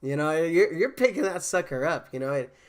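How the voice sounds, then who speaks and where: A man's voice: fairly high pitch, lively intonation, brisk. A man casually chatting with viewers on a game stream, rambling with fillers and restarts, a bit congested from a cold. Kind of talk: casual live-stream chat.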